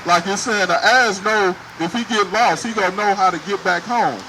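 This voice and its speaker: Male street preacher, emphatic and confrontational, raised high voice, recorded on a noisy city street. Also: normal speed. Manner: loud, emphatic, questions passersby.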